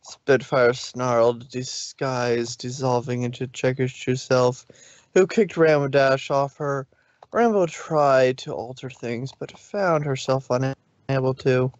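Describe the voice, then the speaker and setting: A man reading scripted fan fiction as a storybook narrator, with a measured, dramatic delivery. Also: mid-range voice, steady tone, no room sound, muffled recording.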